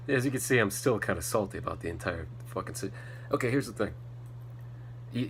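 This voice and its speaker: An American man slightly congested from a cold, rambling casually and bluntly as a solo podcast host, swearing often. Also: sits low. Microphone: faulty setup, not the intended microphone. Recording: poor podcast audio with hum and noise.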